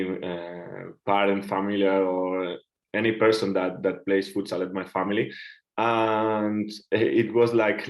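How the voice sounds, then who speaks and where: A man with a Spanish accent and a fairly low voice answers interview questions in English. He talks haltingly, pausing to search for words and restarting phrases. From Catalonia, Spain. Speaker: guest, futsal player.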